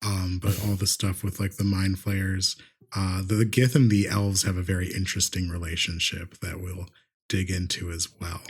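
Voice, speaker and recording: A man's voice: low voice, level tone, moderate pace, breathy edge. A man chatting casually as the game master of a tabletop podcast. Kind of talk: casual chat.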